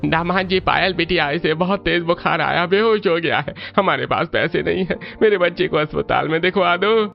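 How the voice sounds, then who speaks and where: A man voicing a desperate, pleading uncle with a creaky voice in a cartoon story. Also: fairly high pitch, moderate pace, highs cut off, voice pushed up. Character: desperate, pleading uncle begging for his child. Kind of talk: cartoon story character acting.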